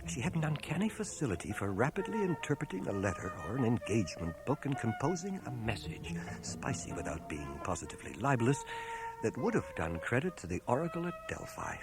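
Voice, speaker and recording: Male actor playing an earnest fifty-ish schoolmaster in radio drama, literate and confessional, expressive pitch swings, music behind, cassette-era sound. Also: brisk, lightly acted, low-quality recording. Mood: wry recollection. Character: lovestruck, troubled fifty-year-old schoolmaster telling his confession. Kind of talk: radio drama narration and dialogue. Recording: 1980 radio drama on home cassette transfer.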